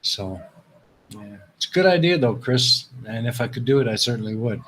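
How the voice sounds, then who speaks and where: A man's voice: mid-range voice, expressive, moderate pace, fairly bright tone, slightly rough, rough recording. A man who grew up in Canada with Dutch as his first language, hosting a casual live stream, rambling and repeating himself as he reads viewers' chat.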